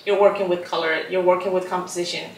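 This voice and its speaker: A woman's voice: fairly low pitch, steady tone, fast, distant mic. Thoughtful woman in an echoey studio. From Chile. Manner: thoughtful, soft-spoken, many "like" and "um" fillers. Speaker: visual artist. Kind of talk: documentary interview, reflective talk. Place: roomy painting studio, indoors. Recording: echoey studio room, off-mic.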